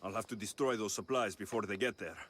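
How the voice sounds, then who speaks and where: An actor playing a confident, wry young Greek mercenary hero in a video game, speaking in a direct, assured manner. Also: male voice, fairly low pitch, fast, a bit bright, a little hiss or hum, close to an everyday voice. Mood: resolute. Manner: direct, assured, dryly teasing. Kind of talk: video game cutscene acting.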